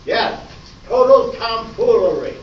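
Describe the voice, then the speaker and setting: A man playing a by-the-book police sergeant in an amateur radio play parody, deliberate and deadpan. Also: extremely high pitch, full character voice. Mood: businesslike. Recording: distant, echoey, muffled room with noise.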